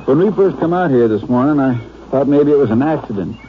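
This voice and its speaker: A man's voice: mid-range voice, lively intonation, fairly quick, lightly acted. Folksy Southern sheriff acted by a man, tinny sound. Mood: plainspoken. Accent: American Southern. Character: folksy, dutiful Texas country sheriff. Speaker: actor in a radio crime drama. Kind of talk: old-time radio drama acting. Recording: thin, noisy old radio recording.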